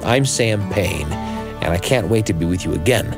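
An American man hosting a radio show in an expressive storytelling style, speaking directly to the listener with dramatic pauses. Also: low-pitched, loud music behind the voice. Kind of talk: expressive scripted storytelling narration.

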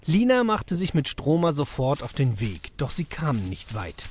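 Lively male storyteller. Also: on the high side, moderate pace, slight background noise. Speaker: narrator. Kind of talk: scripted children's audio drama narration.

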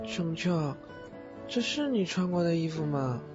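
A man's voice: a little high, very animated, measured pace. A man voicing a sweet, naive young ghost boy with a childlike manner in an audio drama. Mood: shy, affectionate. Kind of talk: Chinese audio drama acting. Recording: dull lo-fi audio with music.

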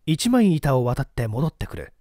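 Mellow, expressive male storyteller. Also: mid-range voice, normal speed, no echo, clean studio sound. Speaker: audiobook narrator, stage actor. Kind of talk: dramatic scripted literary narration.

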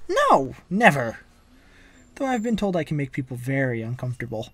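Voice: mid-range voice, big pitch swings, moderate pace, fairly bright tone, no room sound. A performer voicing a wisecracking, manic masked antihero in a comic-book dub, flippant and theatrical but caring underneath. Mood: flippant, joking. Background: background music.

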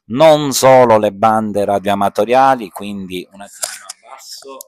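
A man's voice: on the low side, a little slow, a little mellow. A man from Sicily, casually demonstrating small radios to camera at home in a rambling, chatty way. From Sicily, Italy. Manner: chatty, rambling, repeats fillers like insomma. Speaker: ham radio hobbyist and channel host. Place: indoor room at home.

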